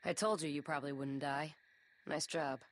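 A woman's voice: low-pitched, steady tone, moderate pace, natural voice. An actress playing a tough, dryly reassuring woman survivor in a game.

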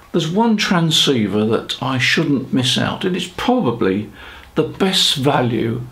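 An older British man in his seventies presents in an explanatory style. His voice is noticeably creaky, and he speaks in a measured way with pauses mid-sentence. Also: medium pitch. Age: 70s. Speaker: ham radio presenter. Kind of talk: explanatory talk to camera. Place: small home studio room, indoors.

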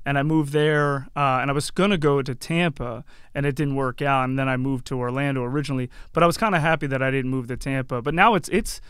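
A man's voice: medium pitch, fast, hardly any pauses, a bit bright, clear, resonant tone, dry recording, studio quality. A man around his forties, chatting casually and telling anecdotes as a podcast guest. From Yardley, Pennsylvania and New Jersey, USA. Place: small curtained podcast studio. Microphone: close broadcast mic on boom arm.

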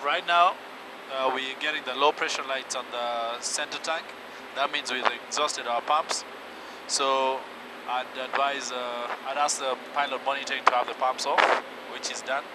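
A man's voice: mid-range voice, fairly even intonation, moderate pace. A man, an airline pilot, patiently explaining cockpit instruments in flight. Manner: technical, patient, with fillers. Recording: loud engine and cockpit noise.

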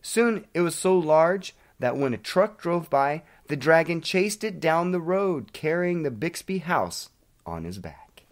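A man's voice: on the high side, sing-song, a little slow. A man hosting a devotional, reading aloud and praying. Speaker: devotional host.

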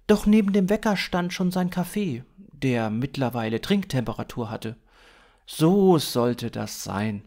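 A man in his thirties narrates a scripted story for a fiction podcast. His pitch is mid-range and he takes his time, reading at a slow pace. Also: creaky. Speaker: author-narrator.

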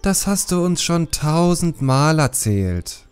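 A man's voice: on the high side, big pitch swings, clean tone, soft background music, voice pushed up. A man voicing an impatient, whiny panda child who pleads and complains in an audiobook. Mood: bored, annoyed. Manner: pleading, drawn-out, exasperated. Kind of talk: audiobook character acting.

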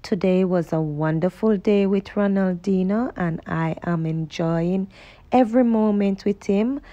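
A middle-aged Jamaican woman talking calmly and gratefully about her day, chatting while she exercises in an outdoor park gym. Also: medium pitch, measured pace, highs cut off. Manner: calm, grateful, then chatty. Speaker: vlogger. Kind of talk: reflective narration and exercise chat.

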